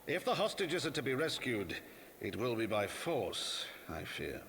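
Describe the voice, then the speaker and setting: An actor playing a sober, formal advisor in a fantasy video game, speaking with grim deliberation. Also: male voice, mid-range voice, very animated, measured pace, a bit bright, slight background noise. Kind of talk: dramatic video game voice acting.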